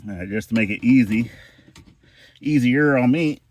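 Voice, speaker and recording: A man's voice: medium pitch, moderate pace. A man explaining a DIY repair step by step while he works outdoors, congested and sniffling from a chest cold. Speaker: homestead vlogger. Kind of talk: hands-on DIY how-to. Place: outdoors at a well house, kneeling on rocks.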